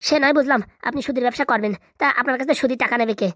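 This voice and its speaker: A man's voice: very high-pitched, very fast, fairly bright tone, dry recording, clean studio sound. A man voicing an anxious, reluctant young husband in a comedy cartoon, fretful and evasive with his father-in-law. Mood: worried.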